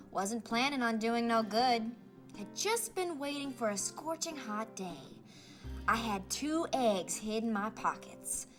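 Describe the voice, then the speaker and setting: A woman voicing a spirited Southern American grandmother telling a storybook tale of her girlhood, in folksy, animated rural dialect. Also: a little high. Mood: playful, proud. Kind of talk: children's storybook character reading. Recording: music playing behind the voice.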